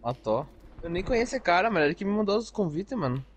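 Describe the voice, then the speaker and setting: A man chatting and teasing his squad mates while playing a shooter, often shouting excited callouts. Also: sits high, fast talker. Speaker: streamer in squad. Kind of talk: casual gaming chat over voice chat. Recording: noisy game audio with gunfire.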